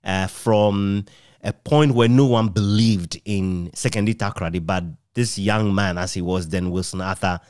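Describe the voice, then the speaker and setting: A middle-aged man with a Ghanaian accent conducts a conversational interview in a low voice. He frames long, reflective questions in a measured way, and the sound is close and dry. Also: a little mellow, clean tone, no echo. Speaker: interviewer, author. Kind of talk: conversational radio history interview.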